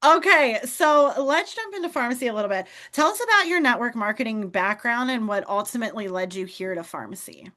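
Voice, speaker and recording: A woman hosts a team call with upbeat, motivational energy. Her voice is bright and fairly high. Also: expressive, brisk, hardly any pauses. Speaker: host.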